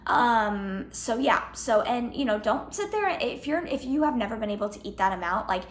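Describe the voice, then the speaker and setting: A young woman in her twenties, a fitness vlogger answering questions in a casual Q&A, slightly hoarse from losing her voice, chatty with lots of "like" and "um". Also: on the high side, expressive, moderate pace, reverberant, slight background noise. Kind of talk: casual Q&A vlog.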